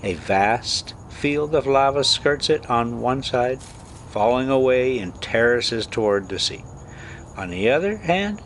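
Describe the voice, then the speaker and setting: A man reading a classic story aloud as scripted narration, with deliberate phrasing and dramatic emphasis. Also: mid-range voice, a bit bright, slight background noise. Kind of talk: scripted audiobook-style narration.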